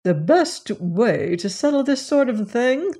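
A woman voicing a condescending, severe elderly society lady in an audiobook story, speaking with deliberate disapproval. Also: a little high, very animated, dark tone, pitched above the performer's own voice, heavily acted. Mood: haughty.

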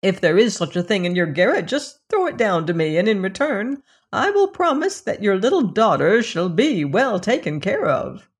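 A woman voicing a greedy, grumbling old woman with a stick who is quick to anger. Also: mid-range voice, sing-song, close to an everyday voice. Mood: fussy. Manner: testy, quick to rage.

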